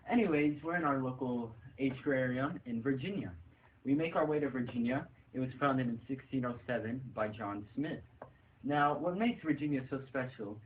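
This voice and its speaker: A man's voice: mid-range voice, close to an everyday voice. Man as a tour host, phone-like sound. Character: stand-in male colonial tour host. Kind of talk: scripted presenting in amateur skit. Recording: phone-like, noisy location sound.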